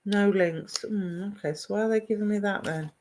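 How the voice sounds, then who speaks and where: A woman's voice: mid-range voice, normal speed, a little mellow. A woman working as a psychic medium, giving live online readings, greeting viewers by name and calling them "my darling". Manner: affectionate, calls viewers "my darling"; greets and addresses viewers by name, asks if things make sense.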